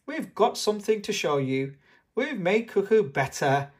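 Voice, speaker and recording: An adult putting on an excitable little girl pig, lively swooping pitch. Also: a little slow. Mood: eager. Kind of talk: children's storybook read-aloud.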